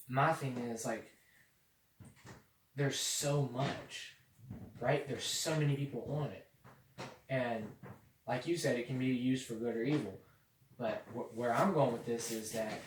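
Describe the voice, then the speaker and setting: A young man hosting a podcast in casual chat, rambling with many fillers and getting excited about social media. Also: mid-range voice. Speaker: podcast host. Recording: echoey room, mic at a distance, faint noise.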